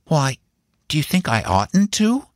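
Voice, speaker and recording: An earnest little boy played by a grown man speaks in a raised, light pitch. He is polite and insistent, with a grave, secretive air suited to a storybook nephew. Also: very animated, a little slow, dry recording, clean studio sound. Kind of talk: audiobook character dialogue.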